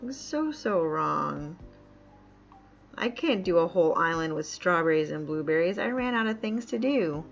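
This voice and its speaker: A woman's voice: on the low side, animated, normal speed, vocal fry. A woman from upstate New York chatting casually while live-streaming a game, laughing often and reading chat aloud. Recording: dull lo-fi mic with faint music.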